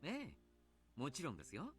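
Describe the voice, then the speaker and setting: An actor playing a jovial, kindly adult coach in a visual novel, polite, chatty and playfully teasing. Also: male voice, on the high side, wildly swooping pitch, racing, faint music behind. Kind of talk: Japanese visual novel voice acting.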